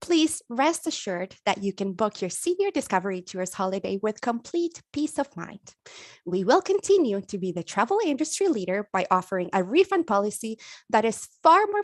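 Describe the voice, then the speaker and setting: Woman host, lively pitch, dry close sound, scripted reading. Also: normal speed, no echo. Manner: polished, scripted. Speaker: webinar host. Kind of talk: read announcement.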